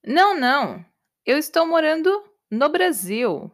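Woman doing an Irish English teacher, patient, slow, repetitive, lively pitch. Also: exaggerated voice. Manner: slow, repetitive, carefully clear answers.

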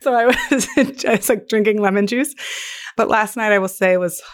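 A woman's voice: medium pitch, normal speed. A woman in her thirties hosting a podcast, with a scratchy voice from laryngitis, chatting and laughing often. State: hoarse from laryngitis. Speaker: podcast host. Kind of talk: casual chat.

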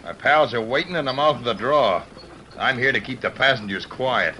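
A man's voice: medium pitch, normal speed, pushed and bright, lo-fi sound, slight background noise, close to an everyday voice. A man playing a menacing, curt American stagecoach outlaw in an old-time radio Western. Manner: curt, threatening.

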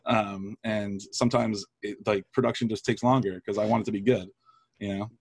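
A man's voice: on the low side, fairly even intonation, brisk, vocal fry, a little mellow, slightly rough, dry recording. A man speaking as a podcast guest, chatting in an earnest, agreeable way. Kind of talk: casual podcast chat.

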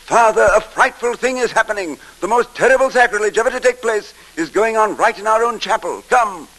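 A man voicing a horrified, excitable monk who cries out urgently in an old radio story with music underneath. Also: high-pitched, a little fast, pitched above the performer's own voice, poor audio. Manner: alarmed, urgent, exclamatory. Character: horrified, excitable portly monk crying sacrilege.

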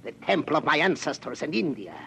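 Sharp, gravelly menacing zealot. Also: male voice, on the high side, very animated, a little fast, heavily acted. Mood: fervent, righteous. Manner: formal, florid, menacing. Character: menacing, zealous East Indian man avenging his temple. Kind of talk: classic radio drama villain acting. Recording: noisy old radio recording.

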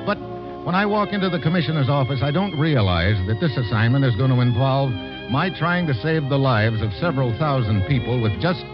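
An actor playing a tough, wry American government troubleshooter in a 1950s radio drama. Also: male voice, medium pitch, expressive, a little fast, natural voice. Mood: wry, hard-boiled. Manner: wry, wisecracking delivery. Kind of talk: 1950s radio drama acting and first-person narration. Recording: muffled vintage radio recording with music.

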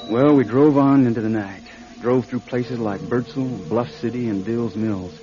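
An actor playing a young drifting country singer in a radio drama, plainspoken, folksy and halting. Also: male voice, medium pitch, mellow, natural voice. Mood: reflective narrating. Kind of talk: radio drama acting with first-person narration. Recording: slightly dull radio recording. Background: music.

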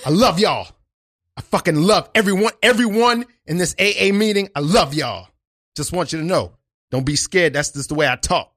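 A man playing an intense, swearing man at a support-group meeting who loudly declares his love for everyone. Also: high-pitched, animated, normal speed, no echo, studio quality, voice pushed up. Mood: gushing. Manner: loud, swearing, overly emotional declarations of love. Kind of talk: improvised comedy scene.

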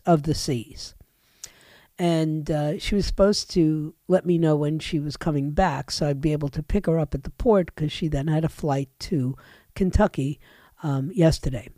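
A woman's voice: sits low, dark tone, no room sound. A woman talk radio host with a head and chest cold, chatting in a rambling way that keeps interrupting itself, at a studio microphone. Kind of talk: casual talk radio monologue.

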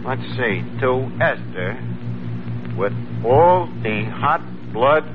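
An actor playing a wisecracking, pretentious lowbrow New York tavern manager with mock-refined diction in a 1940s radio comedy. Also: male voice, animated, takes it slowly. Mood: sardonic, breezy. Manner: sardonic, mock-refined, mangles grammar and fancy words. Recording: tinny, noisy 1940s radio recording.

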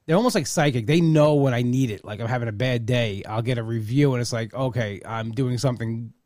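A man's voice: medium pitch, fairly quick, a little mellow, dry recording, studio quality. A man of forty hosting a podcast, talking casually and rambling with many restarts. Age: 40s. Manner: rambling, restarts often, says like a lot. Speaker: podcast host.

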